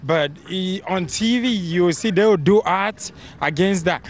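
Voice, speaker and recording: Higher-voiced man explaining earnestly. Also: measured pace, a little hiss or hum. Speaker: interviewee. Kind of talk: casual explanatory interview answers.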